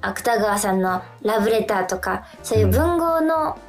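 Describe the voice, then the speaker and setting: A woman's voice: mid-range voice, expressive, moderate pace, soft background music. A woman co-hosting a casual radio chat, explaining things politely and somewhat hesitantly. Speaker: radio show co-host.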